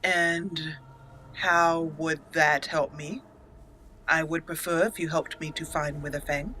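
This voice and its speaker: Bright-voiced woman reading game dialogue in character. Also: low voice, fairly even intonation, slow, slight background noise. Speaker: gaming channel host, role-playing her character.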